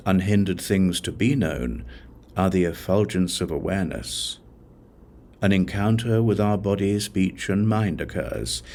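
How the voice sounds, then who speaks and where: A man reads scripture as a scripted audiobook narration, in a measured, solemn reciting manner. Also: deep voice, a little mellow. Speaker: audiobook reader.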